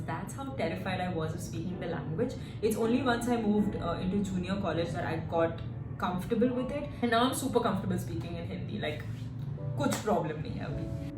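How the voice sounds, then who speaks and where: A woman in her twenties who grew up in Singapore, a fitness coach talking casually to camera in an animated, storytelling way with lots of "like" and "right?". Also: medium pitch. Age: 20s. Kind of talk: casual vlog chat. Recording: echoey room with music behind.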